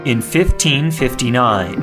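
A man narrating a scripted historical drama in a deliberate, dramatic storytelling style. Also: medium pitch, expressive. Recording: guitar music under the voice. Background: guitar music.

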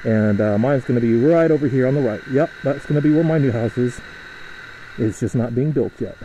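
A young man talks through his ride in a loose, rambling way, full of fillers and restarts. His voice is mellow and mid-pitched. It is picked up by a helmet mic with faint road noise behind it. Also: lo-fi sound. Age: young adult. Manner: rambling, chatty, halting with fillers. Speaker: motovlogger. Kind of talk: casual riding commentary. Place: riding a motorcycle outdoors through a suburb.

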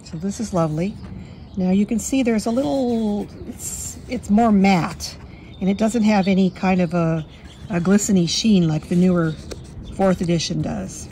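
A woman with a slightly hoarse voice from a cold, chatting fondly through a walkthrough of a card deck at her table. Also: mid-range voice, normal speed, warm tone, slight background noise. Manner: fond, chatty, admiring. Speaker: tarot deck reviewer. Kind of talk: casual walkthrough. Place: indoors at a table.

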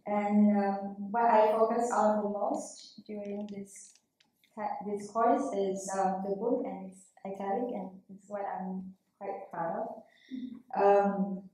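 Woman presenter, Vietnamese first language, slow and halting, mellow tone, far-off echoey hall. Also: mid-range voice, steady tone. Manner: hesitant, many ums and uhs. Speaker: type design student presenting her typeface. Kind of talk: conference presentation. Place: large hall, at a lectern. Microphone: lectern mic, camera far off.

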